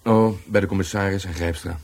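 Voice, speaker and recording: An actor playing an easygoing, patient police sergeant in a radio drama, putting questions in an informal way. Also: male voice, low-pitched, steady tone, brisk, a little mellow. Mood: probing, calm. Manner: informal, probing.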